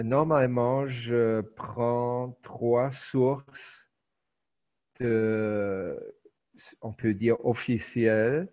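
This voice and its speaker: Man, native German speaker, middle-aged, online lecture, hesitant, slow, muffled call audio. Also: medium pitch, mellow. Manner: hesitant, many filler pauses. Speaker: teacher. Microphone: video call.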